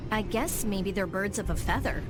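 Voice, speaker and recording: A woman voicing a blunt, brainy teenage girl researcher in a video game, brisk and matter-of-fact. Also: medium pitch, music in the background, lightly acted. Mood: dry. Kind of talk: video game dub acting.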